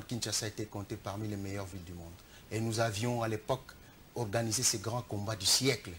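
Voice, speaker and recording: A man's voice: fairly low pitch, a bit bright, a little hiss or hum. A Congolese man with a Congolese French accent, a political candidate answering interview questions earnestly. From Democratic Republic of the Congo. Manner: defensive, earnest, speechmaking. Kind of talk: studio interview.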